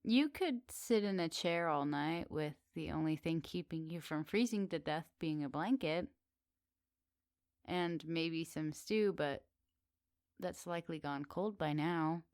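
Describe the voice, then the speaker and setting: A woman playing a flirty, teasing witch in a soft, intimate ASMR roleplay. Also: deep voice, dry recording, clean studio sound. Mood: calm, coaxing. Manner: soft, teasing, giggly, unhurried. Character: teasing, flirty, lonely witch in a woodland cottage.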